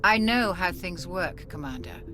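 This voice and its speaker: A woman acting a cautious, sensible military doctor in a video game, speaking in a measured, reproving, matter-of-fact way. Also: lowish voice, animated, slight background noise. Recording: loud music behind the voice. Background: music.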